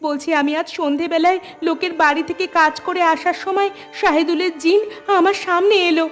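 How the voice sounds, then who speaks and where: Woman acting an anxious, very high-pitched wife. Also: fairly even intonation, a little fast, soft background music. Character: worried, pleading village wife. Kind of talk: melodramatic cartoon dubbing.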